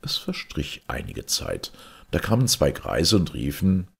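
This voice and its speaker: Deep gravelly man telling fairy tales. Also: wildly swooping pitch. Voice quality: gravelly, husky. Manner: dramatic, deliberate. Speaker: storyteller, audiobook reader. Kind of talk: expressive fairy-tale narration.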